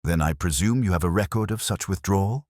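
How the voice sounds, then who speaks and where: A man voicing a cool, incisive detective in an audiobook, speaking formally and deliberately with exacting, probing questions. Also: low voice, darkish tone, dry recording, studio quality, pitched above the performer's own voice. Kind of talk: audiobook character reading.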